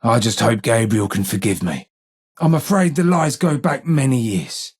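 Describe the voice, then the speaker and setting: An actor playing a blind, weary old London gang informer in a video game, with a London accent, a husky gravelly voice and measured, wry street talk. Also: male voice, medium pitch, expressive, darkish tone. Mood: weary, remorseful. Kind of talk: video game character dialogue.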